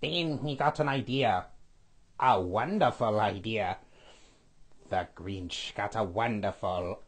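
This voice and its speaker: A man voicing a scheming skeletal villain lord who reads a storybook aloud with a raspy, sniffly voice, stretching out repeated words. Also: fairly low pitch, heavily acted. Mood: gloating. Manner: sing-song storybook reading, drawn-out repeated words.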